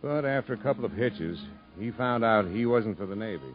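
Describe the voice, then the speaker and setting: A man's voice: fairly low pitch, lively intonation, fairly quick, over music, lightly acted. An actor playing a kindly, reflective man who narrates family history to a boy in a 1950s American radio drama. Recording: dull 1950s radio broadcast recording.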